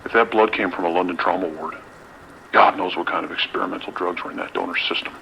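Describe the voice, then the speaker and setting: A man plays a skeptical, decisive police lieutenant in an audio drama. His deep voice moves quickly, authoritative and dismissive, with a gravelly grain. Faint rain and radio noise sit behind him. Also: steady tone, bright, forceful tone, heavily acted, rough recording.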